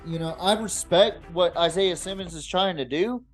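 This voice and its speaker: A man's voice: sits high, moderate pace, faint music behind. A man hosting a sports podcast in a casual, blunt way, swearing often as he talks. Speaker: podcast host.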